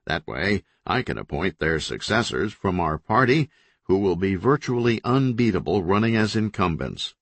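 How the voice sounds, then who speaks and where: A man's voice: low-pitched, sing-song, moderate pace, no room sound, full character voice. A man doing a blunt, hot-tempered governor. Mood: cold, even. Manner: blunt, commanding, profane. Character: hot-tempered male state governor, forceful.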